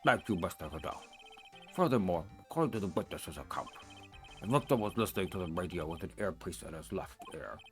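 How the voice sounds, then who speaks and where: A man voicing a formal male courtroom judge who speaks in a measured way, for a fan-voiced game. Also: sits low, expressive, a bit bright, soft background music.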